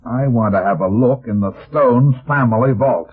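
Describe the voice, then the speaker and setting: An actor playing a shrewd, unflappable private detective in a vintage radio mystery, speaking in a terse, wry way. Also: male voice, medium pitch, moderate pace, noisy background, lightly acted. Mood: urgent. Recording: muffled lo-fi old radio recording.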